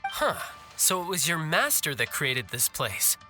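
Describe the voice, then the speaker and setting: A man's voice: fairly high pitch, big pitch swings, a little slow, pushed and bright, heavily acted. A young man voicing a shrewd, cocky fighter in a video game, with a glib, teasing manner. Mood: musing. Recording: music behind the voice.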